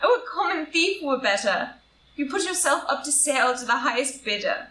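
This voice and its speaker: A woman's voice: sits high, expressive, moderate pace, pushed and bright. A woman playing a wronged, idealistic society wife in an audio drama, declaiming with bitter heartbreak. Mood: anguished reproach. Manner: declamatory, impassioned. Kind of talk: stage-style audio drama acting. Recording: roomy, distant live reading with noise.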